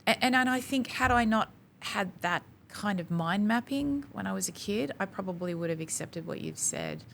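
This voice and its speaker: An Australian woman in her forties talking in a casual podcast conversation, animated and acting out her own quotes. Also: mid-range voice, normal speed, vocal fry, a little hiss or hum. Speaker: podcast guest.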